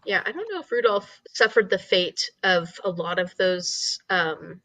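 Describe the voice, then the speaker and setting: A woman co-hosting a casual book discussion, explaining things in an animated way and repeating herself for emphasis. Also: lowish voice, a little slow, rough recording.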